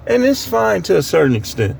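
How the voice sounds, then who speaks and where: American man, creaky voice, informal scripture preaching, admonishing, repetitive emphasis, faint outdoor noise. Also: sits high, big pitch swings, brisk, a little mellow. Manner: admonishing, plain-spoken, repeats phrases for emphasis. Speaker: street preacher.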